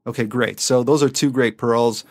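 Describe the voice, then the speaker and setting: A man's voice: mid-range voice, steady tone, moderate pace. A man hosting a medical podcast in a conversational interview style, explaining, recapping points and asking questions. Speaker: podcast host, emergency physician.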